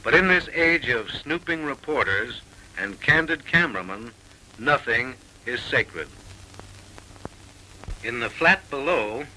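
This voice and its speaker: A man's voice: mid-range voice, animated, slow, long pauses, sharp tone, a little hiss or hum, poor audio. A man narrating a 1930s comic film with mock-serious, arch, theatrical delivery on an old film soundtrack.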